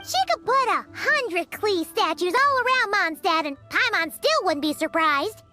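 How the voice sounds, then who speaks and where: A woman voicing a tiny, excitable childlike fairy companion in a video game, with a squeaky voice and exclamatory delivery. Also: a little slow, faint music behind, heavily acted. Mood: knowing. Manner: exclamatory, refers to herself in third person. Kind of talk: video game character acting.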